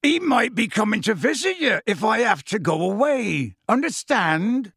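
A man voicing a big, bumbling, soft-hearted half-giant gamekeeper in an audiobook, with hearty, folksy, coaxing speech. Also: high voice, big pitch swings, normal speed, slightly rough, no room sound, studio quality, pitched above the performer's own voice, exaggerated voice. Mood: eager, hearty. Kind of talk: audiobook character voice.

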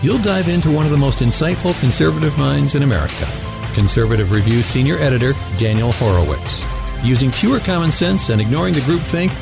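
A man's voice: medium pitch, animated, fairly quick, gravelly. A man reading a scripted promotional intro as a show announcer. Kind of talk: scripted promotional narration over music. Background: music.

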